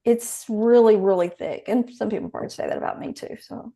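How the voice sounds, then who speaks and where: A woman's voice: mid-range voice, a little fast, creaky, dark tone. A woman from Texas with a Southern accent, chatting casually on a video call, rambling and friendly. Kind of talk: casual group video chat.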